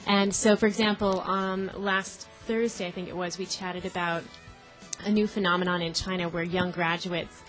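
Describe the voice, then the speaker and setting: A woman's voice: fairly low pitch, steady tone, normal speed, a bit bright, soft background music, poor audio. A woman guest in casual studio chat, upbeat and explaining at length. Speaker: guest co-host of another show. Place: broadcast studio.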